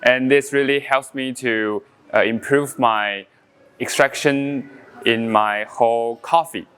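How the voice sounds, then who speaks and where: Taiwanese coffee champion, smooth voice. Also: male voice, mid-range voice, steady tone, a bit bright, soft background music. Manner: halting non-native English with frequent fillers, explaining step by step. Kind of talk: casual brewing demonstration.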